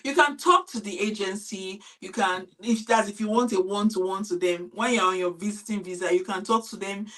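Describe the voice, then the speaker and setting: A Nigerian woman, a teacher giving lively, laughing advice in a casual panel chat. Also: medium pitch, brisk, hardly any pauses, recorded from a distance. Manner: animated, laughing, mimics pupils.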